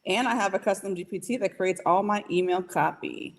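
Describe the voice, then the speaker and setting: A woman's voice: mid-range voice, fairly quick, slight background noise. A woman business consultant giving advice in a casual podcast chat, emphatic and repeating points for stress. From Puerto Rican heritage, from the US Northeast.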